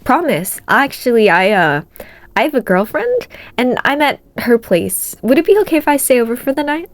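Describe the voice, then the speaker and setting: A woman's voice: high-pitched, sing-song, moderate pace, pitched above the performer's own voice. An earnest teenage girl hero read by a woman from a story. She is affectionate and a little hesitant, stopping and restarting her phrases. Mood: nervous. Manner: hesitant, filler-strewn dialogue.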